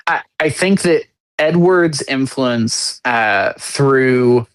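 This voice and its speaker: A man of middling pitch talking on a casual podcast. He hunts for his words as he goes, restarting phrases and stacking fillers as he builds an argument. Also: clear, resonant tone. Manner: halting, searching for words with many restarts. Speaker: podcast co-host.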